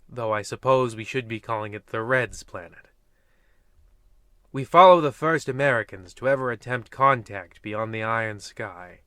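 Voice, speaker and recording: Man as bright, ominous radio horror narrator. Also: medium pitch, no echo, studio quality. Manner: theatrical, portentous, dryly joking. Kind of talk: 1950s radio anthology host parody.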